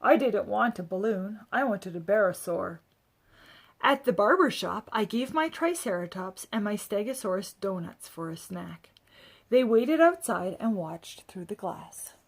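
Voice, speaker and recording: A woman's voice: mid-range voice, lively intonation, measured pace, vocal fry. A woman reading a children's story aloud with expression. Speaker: storybook reader.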